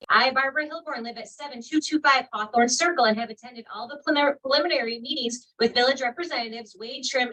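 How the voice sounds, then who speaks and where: A woman on a village council reading residents' letters into the record, in a loud, clear voice at a public meeting. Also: fairly high pitch. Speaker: council member. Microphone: distant from the microphone. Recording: speaker far from the mic.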